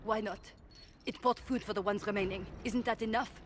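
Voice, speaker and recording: A woman's voice: mid-range voice, steady tone, a little fast, slight background noise, natural voice. An actress playing an accused noblewoman on trial in a video game, defending herself with intense emotion. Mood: defiant, defensive. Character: accused noblewoman on trial, defiant, then desperate. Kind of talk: dramatic video game acting.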